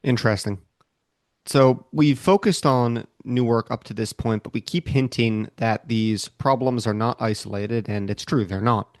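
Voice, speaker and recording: An American man co-hosting a podcast, explaining things in a measured way from prepared notes. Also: medium pitch, darkish tone, dry recording, studio quality. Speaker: podcast co-host.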